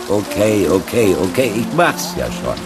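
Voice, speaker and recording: Deep-voiced grumpy, sarcastic reporter. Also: male voice, full character voice. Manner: sardonic, grumbling, swearing. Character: grumpy, sarcastic male newspaper reporter, tired and bantering. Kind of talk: German radio play acting.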